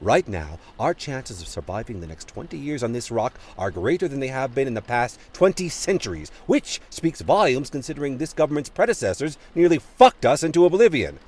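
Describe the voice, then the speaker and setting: A man's voice: medium pitch, big pitch swings, fairly quick, few pauses, a little mellow. An actor playing an irritable, cynical male scientist in an audio drama, sardonic, sweary and emphatic. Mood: scornful, irritated.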